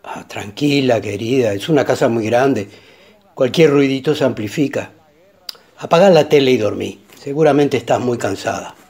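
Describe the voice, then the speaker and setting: Husky, reassuring Paraguayan husband. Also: male voice, medium pitch, normal speed, darkish tone. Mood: soothing. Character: calm, reassuring, rational husband. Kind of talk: radio drama acting.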